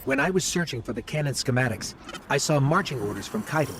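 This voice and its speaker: A man's voice: medium pitch, animated, fast, background noise, close to an everyday voice. A man voicing a small, confident robot companion in video game dialogue, joking and planning aloud. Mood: wry. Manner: quippy, upbeat planner. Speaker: companion guide. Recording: game audio over loud music.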